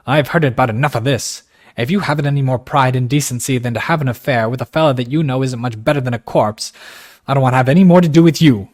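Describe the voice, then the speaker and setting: A man's voice: mid-range voice, wildly swooping pitch, moderate pace. A man voicing an indignant young man who speaks angrily and accusingly. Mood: angry. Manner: angry, accusing.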